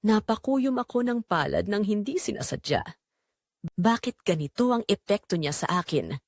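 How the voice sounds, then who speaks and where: Expressive woman narrating, close dry sound. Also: medium pitch, moderate pace, no room sound. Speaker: audio drama narrator. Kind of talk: scripted first-person romance narration.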